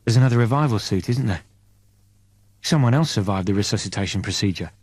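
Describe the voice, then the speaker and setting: A man's voice: lowish voice, expressive, fast, darkish tone, husky, no room sound. A man voicing a weary, sardonic male scientist in an audiobook. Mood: probing. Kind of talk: audiobook character voice.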